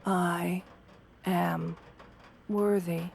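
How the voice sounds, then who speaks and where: Soft-spoken, gentle wolf-girl therapist played by a woman. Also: lowish voice, flat delivery, vocal fry, slight background noise, full character voice. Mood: calm, guiding. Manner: soft-spoken, soothing, measured, reassuring. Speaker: therapist character speaking to a patient. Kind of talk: ASMR roleplay, guided affirmations.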